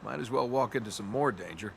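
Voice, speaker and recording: A man's voice: medium pitch, fast talker, vocal fry, natural voice. An actor playing a flippant, wisecracking young male adventurer in a video game, with an American accent. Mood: wry, sarcastic. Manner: flippant, sarcastic. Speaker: video game lead character. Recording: game audio over loud ambient noise.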